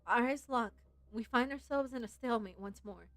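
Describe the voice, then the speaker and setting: A woman's voice: a little high, normal speed, a bit bright, no room sound. A woman livestreaming a game, chatting casually and reading its story aloud in a playful way. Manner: playful, giggly fan commentary. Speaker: game streamer. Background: game music.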